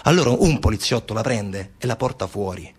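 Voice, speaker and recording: A man's voice: fairly low pitch, lively intonation, a little fast, a little mellow, slightly rough. A man performing a theatrical narrative monologue, with emphatic, repetitive, incantatory phrasing. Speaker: stage actor and narrator. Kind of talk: dramatic theatrical monologue storytelling.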